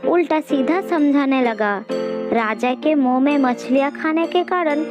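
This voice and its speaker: A woman's voice: high-pitched, unhurried. A woman reading a scripted children's story as narrator. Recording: dull lo-fi audio under loud music.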